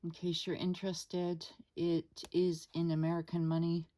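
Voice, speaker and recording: A woman hosting her own art channel, chatting casually at her dining table. She rambles with many fillers and asides to viewers, her voice lower than usual today. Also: monotone, moderate pace, warm tone. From Canada. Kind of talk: casual unscripted chat. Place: home dining room table.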